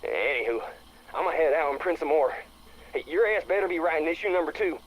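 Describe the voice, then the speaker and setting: A man's voice: on the high side, a little fast, a little hiss or hum, close to an everyday voice, low-quality recording. A man playing a chatty, brash small-town guy leaving a casual, slangy, enthusiastic message in a video game. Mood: brash, joshing. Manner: breezy, slangy, joshing.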